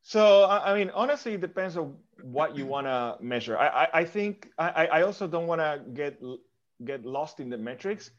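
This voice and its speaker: A man's voice: a little high, expressive, normal speed, highs cut off. A man from Argentina answering a podcast host's questions thoughtfully, often restarting and repeating words as he works out his point. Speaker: podcast guest. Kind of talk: interview answers.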